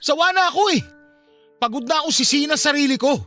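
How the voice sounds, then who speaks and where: An actor playing an anguished young adult son in a Filipino radio drama, bitter and pleading, with emotional delivery. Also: male voice, extremely high pitch, fast talker, pushed and bright. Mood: angry, anguished. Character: anguished adult son confronting his cold father. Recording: music bed behind the voice.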